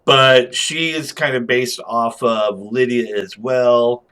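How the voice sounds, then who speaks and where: A man's voice: medium pitch, steady tone, takes it slowly, fairly bright tone, clear, resonant tone. A man giving casual, unscripted game commentary as a review host, rambling along in slang with chuckles.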